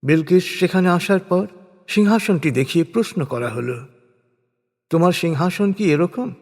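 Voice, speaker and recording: Man, higher-pitched voice, slow solemn scripture narration, long hall reverb. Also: animated, darkish tone.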